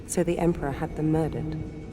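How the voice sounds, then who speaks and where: Actress as a low-voiced, portentous spirit woman. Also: steady tone, natural voice. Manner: portentous, deliberate, dramatic. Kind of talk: dramatic cinematic storytelling. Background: loud dramatic music.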